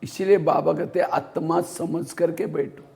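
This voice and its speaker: A man's voice: fairly high pitch, fairly even intonation, normal speed, vocal fry, darkish tone. A man giving a spiritual class, telling anecdotes and asking rhetorical questions that he answers himself. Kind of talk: Hindi spiritual discourse.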